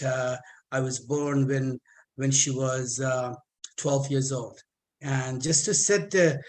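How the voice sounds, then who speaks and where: Male guest speaker, 50s, Iranian accent, mid-pitched, halting delivery with repeated words, personal testimony. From Iran. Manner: halting, stammered repetitions.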